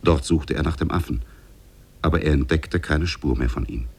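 A man as a solemn storyteller narrating a 1950s radio drama, gravelly and husky. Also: a bass voice, moderate pace, darkish tone, heavily acted. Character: solemn storyteller of Arabian Nights tales.

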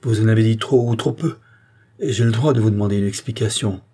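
A man's voice: fairly low pitch, expressive, moderate pace, mellow, slightly rough, voice pushed up. A man playing a proud, honourable count in a historical novel, formal, emphatic and insistent. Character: indignant, honourable count, proud and courteous nobleman. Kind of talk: dramatic audiobook character acting.

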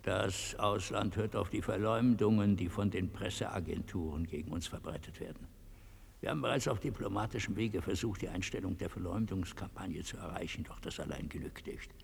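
Deep, husky, scheming dictator. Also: male voice, brisk, heavily acted. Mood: urgent, commanding. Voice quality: husky, gravelly. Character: imperious, scheming Latin American dictator, coldly courteous. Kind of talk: radio play acting. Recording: echoey hall with long reverb.

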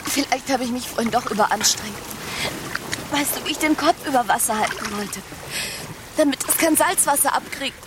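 An actress playing an earnest, intimate young pregnant woman in a German radio drama, speaking in breathy, confiding, deliberate phrases. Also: high voice, very noisy, close to an everyday voice. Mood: anxious. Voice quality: breathy, often sinking to a whisper.

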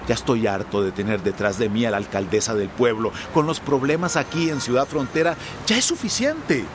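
An actor playing a brash, cynical people smuggler in a radio drama, talking in a cocky, streetwise way. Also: male voice, medium pitch, expressive, fairly quick, close to an everyday voice. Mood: irritated. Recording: noisy outdoor street ambience with traffic.

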